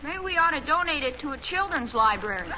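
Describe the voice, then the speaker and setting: A man's voice: very high-pitched, sing-song, fast talker, a loud crowd. A young American male playing an earnest, wisecracking boy in a 1950s radio sitcom, matter-of-fact in delivery. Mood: supportive. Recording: noisy, dull 1950s radio broadcast.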